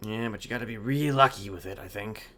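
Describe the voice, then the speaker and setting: A man's voice: on the low side, fast, a bit bright, natural voice. A man playing a prison inmate in an online crime roleplay game, stammering, repeating himself and swearing freely. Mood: doubtful, amused. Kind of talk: improvised in-character roleplay chat.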